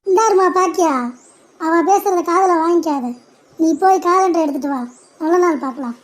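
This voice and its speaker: A woman's voice: very high voice, moderate pace. A woman voicing a blunt, chiding old grandfather who protects his daughter-in-law in a cartoon parody. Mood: encouraging.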